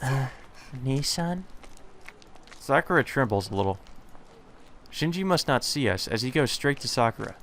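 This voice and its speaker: A man's voice: medium pitch, expressive, normal speed, long pauses, fairly bright tone, slight background noise. A man hosting a let's play, reading visual novel text aloud with dramatized lines and casual asides. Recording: game music behind the voice. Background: visual novel game music.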